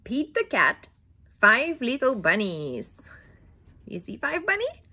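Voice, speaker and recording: A middle-aged woman reading a picture book aloud to young children in an exaggerated, interactive way, with questions and pauses. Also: fairly high pitch, wildly swooping pitch, bright, forceful tone, husky. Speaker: children's storybook reader. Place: small indoor room at home.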